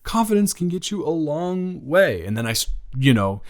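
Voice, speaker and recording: A man's voice: on the high side, big pitch swings, normal speed. A man playing a nervous, smitten young college athlete reunited with a childhood friend, rambling and stammering earnestly in an improvised romantic audio roleplay. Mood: reflective, easygoing.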